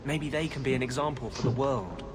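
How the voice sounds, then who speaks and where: A man plays an earnest, tormented rebel mage from a fantasy game. He speaks with brooding intensity at a medium pitch, over clear background noise. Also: a little fast, natural voice. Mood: wry, grateful. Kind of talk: fantasy video game acting.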